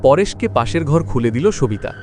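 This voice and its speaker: A man's voice: medium pitch, animated, moderate pace, music in the background. A man narrating a scripted horror story in a dramatic storytelling style.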